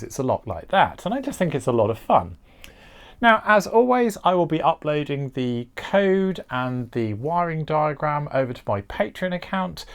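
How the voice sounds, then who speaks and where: Man methodically explaining a technical tutorial. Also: a little high. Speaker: host of an electronics tutorial channel. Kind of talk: informal step-by-step tutorial narration.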